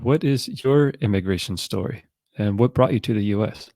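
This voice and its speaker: A man's voice: on the low side, normal speed, creaky, dark tone, dry recording, studio quality. A man hosting a live podcast, speaking casually and introducing his guest. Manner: casual, with some fillers. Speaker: podcast host.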